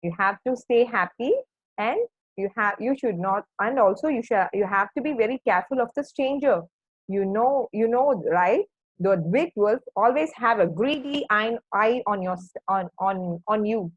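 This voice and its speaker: A woman's voice: mid-range voice, animated, normal speed, breathy edge, no room sound, highs cut off. A woman voicing a caring, cautious mother sheep who earnestly admonishes her young. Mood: concerned.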